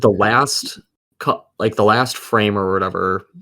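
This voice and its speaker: A man with a fairly low voice chats casually about games. He is blunt and peppers his talk with heavy swearing. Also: a little slow, pushed and bright. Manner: blunt, heavily profane. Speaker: gaming podcast co-host. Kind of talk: casual gaming chat.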